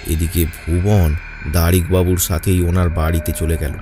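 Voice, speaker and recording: A man's voice: deep voice, little pitch movement, normal speed, music in the background. A man narrating a scripted horror story for a cartoon.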